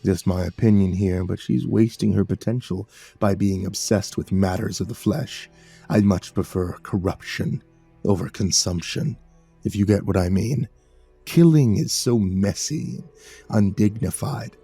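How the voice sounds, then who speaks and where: A man doing a low, sneering demon lord. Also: vocal fry, dark tone, husky, faint music behind, exaggerated voice. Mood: smug. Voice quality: low, rumbling, drawn-out. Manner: slow, sneering, petulant. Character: sulky goth demon lord giant, male, scornful.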